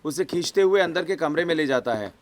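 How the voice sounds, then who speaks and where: A man's voice: a little high, expressive, brisk. A man narrating a scripted cartoon story.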